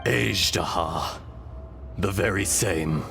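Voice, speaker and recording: A man voicing a calm, wise fragment of an ancient dragon in a human body, solemn and gentle, in a video game. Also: deep voice, takes it slowly, vocal fry, over music, heavily acted. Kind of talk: dramatic video game acting.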